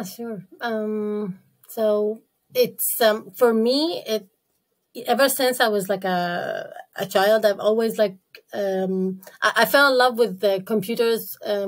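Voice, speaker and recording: Une femme d'origine irakienne, hésitante. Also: female voice, medium pitch. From Iraq. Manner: halting, many fillers and restarts. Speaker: podcast guest. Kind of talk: casual interview talk.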